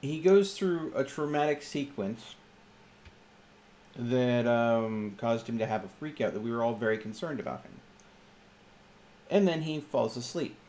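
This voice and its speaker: A man's voice: mid-range voice, lively intonation, unhurried, pauses often, darkish tone. A man talking casually as a player in a tabletop roleplaying game. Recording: clearly noisy background.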